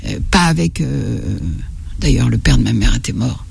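An older woman from Algeria, a writer, talking slowly and reflectively in an interview, often stopping to search for her words. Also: very deep voice, expressive, vocal fry, darkish tone, slightly rough, dry recording. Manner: halting, searching for words, many restarts.

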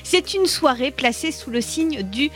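A woman co-hosting morning radio, reading out local event listings in a brisk, informative way. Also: sits high, animated, fairly bright tone, soft background music. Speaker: radio co-host.

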